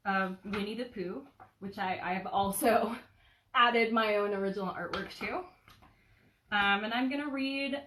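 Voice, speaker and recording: A woman with a lively, swinging pitch presents and reads a children's bedtime story. Her style is informal storytelling, heard in an echoey indoor room a little way from the microphone. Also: far from the mic. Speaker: bookseller reading a bedtime story. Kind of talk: casual introduction and storybook narration. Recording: roomy, a little off the mic.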